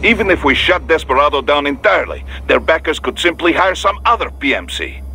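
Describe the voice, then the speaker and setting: An actor playing a seasoned, pragmatic Russian security operations chief in a video game, with a Russian accent and a measured, dry briefing manner. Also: male voice, fairly high pitch, very animated, bright, forceful tone, a little hiss or hum, heavily acted. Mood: matter-of-fact, measured. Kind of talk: video game radio dialogue acting.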